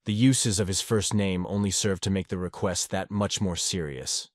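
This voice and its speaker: A man reading fan fiction aloud as an audiobook narrator, his voice low and even. He reads steadily and measuredly. The sound is dry, with no room around it. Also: moderate pace, no room sound.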